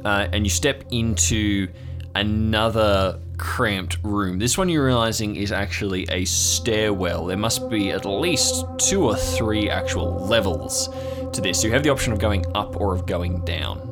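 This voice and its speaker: A man narrating an improvised tabletop adventure as game master, descriptive and pausing often mid-sentence. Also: fairly low pitch. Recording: music playing behind the voice. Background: background music.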